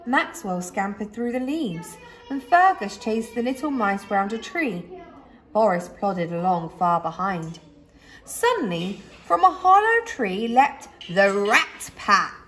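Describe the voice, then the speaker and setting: A woman reading a children's story aloud expressively, like a teacher, with dramatic pauses and emphasis. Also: medium pitch, big pitch swings, fairly bright tone, low-quality recording.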